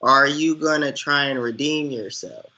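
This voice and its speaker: A man's voice: mid-range voice, normal speed, pushed and bright, lo-fi sound. A man giving earnest, opinionated film commentary as a co-host, speaking conversationally and with emphasis. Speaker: podcast co-host discussing films.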